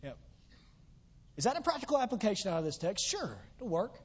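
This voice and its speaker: Preacher, male, voice going hoarse, pitched high, earnest emphatic delivery, muffled lo-fi church recording. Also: sing-song, a little fast. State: losing his voice. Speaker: pastor. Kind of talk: sermon. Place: church sanctuary.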